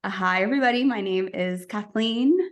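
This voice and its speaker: A woman's voice: medium pitch, a little fast. A woman, a guest chatting on a podcast, chatty and laughing often with lots of fillers. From United States. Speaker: guest, travel agency co-founder. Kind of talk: casual podcast chat.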